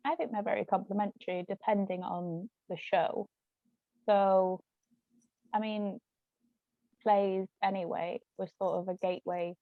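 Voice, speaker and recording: A woman's voice: mid-range voice, fairly even intonation, unhurried, long pauses, no echo. Chatty, giggly woman, dull close sound. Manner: giggly, chatty, peppers talk with "like". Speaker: author and stage actress, interview guest. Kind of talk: casual, animated anecdote telling.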